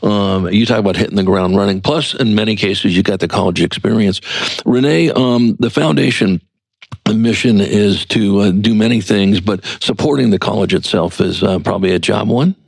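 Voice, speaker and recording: A low-voiced, middle-aged American man hosts an interview show. His voice has a gravelly creak and sits close and dry. He talks in an enthusiastic, rambling, conversational way. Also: moderate pace, no echo, poor audio. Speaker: podcast host.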